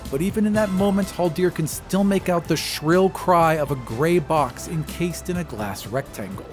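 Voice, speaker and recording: A man working as game master, narrating a tabletop roleplaying game in a conversational, casual way. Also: a little high. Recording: music behind the voice. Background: music.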